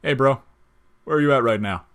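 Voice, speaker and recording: A man's voice: mid-range voice, fairly quick, a bit bright, voice pushed up, natural voice. A man playing a laid-back, wisecracking skeleton in an audio story, casual, joking and slangy. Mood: easygoing, evasive.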